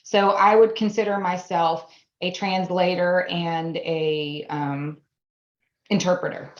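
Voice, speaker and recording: A woman's voice: lowish voice, fairly even intonation, slow. A woman in her forties, a business founder who learned German as a first language, answering interview questions in an earnest way, building her points through repeated phrases. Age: 40s. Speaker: guest, AI education business founder. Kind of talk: interview conversation. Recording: echoey room, dull lo-fi sound.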